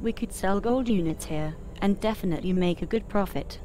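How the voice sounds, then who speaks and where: A woman voicing a helpful spaceship computer assistant, making measured, informative scripted announcements for a game. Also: mid-range voice, warm tone, slight background noise, lightly acted. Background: music behind the voice.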